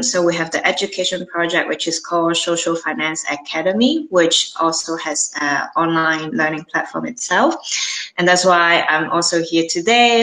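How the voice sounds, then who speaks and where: A woman's voice: on the low side, roomy sound, rough recording. A woman from Hong Kong introducing herself fluently and casually as a caller. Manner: fluent, relaxed self-introduction.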